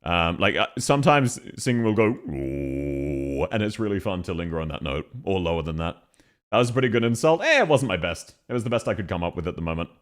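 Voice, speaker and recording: Lively, playful Australian streamer guy. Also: deep voice, a little fast. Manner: playful, laughs often, chatty and easily sidetracked. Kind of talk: casual live-stream chat.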